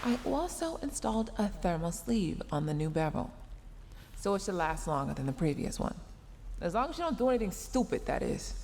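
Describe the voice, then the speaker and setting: A woman's voice: lowish voice, big pitch swings, fairly quick, gravelly, darkish tone, big-room sound, a little hiss or hum. A woman voicing a tsundere mech engineer in a sci-fi audio roleplay, sarcastic and exasperated, scolding while secretly caring. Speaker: roleplay voice performer.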